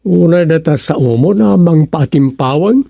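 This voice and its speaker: A woman with an Ilocano accent playing a flustered local on the phone in a radio comedy drama, with a creaky, husky voice. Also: sits low, animated, moderate pace, dark tone, no room sound, clean studio sound, heavily acted. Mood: puzzled.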